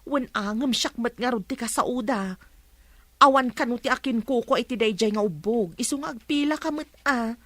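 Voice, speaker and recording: A woman's voice: fairly high pitch, normal speed, a bit bright, dry recording. A woman playing a patient, apologetic wife who pleads with and chides her husband in a radio drama. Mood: reproachful. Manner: pleading, reproving.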